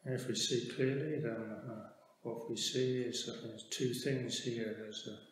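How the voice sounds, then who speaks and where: A man teaching a guided meditation in a calm, measured manner with long pauses. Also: medium pitch. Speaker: Buddhist monk meditation teacher. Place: meditation hall. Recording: very echoey hall, faint background noise.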